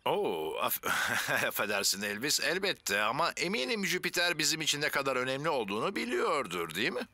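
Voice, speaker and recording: A man playing a kind, steady, heroic village firefighter in a children's cartoon, friendly and earnest. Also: medium pitch, fairly quick, hardly any pauses, dry recording, full character voice. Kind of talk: Turkish-dubbed children's cartoon acting.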